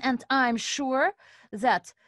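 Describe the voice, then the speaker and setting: A woman's voice: sits high, very slow, a bit bright, no echo, clean studio sound. Woman hosting a webinar. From Estonia. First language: Russian. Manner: formal, addresses audience as dear friends. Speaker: webinar host. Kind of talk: live online webinar presentation.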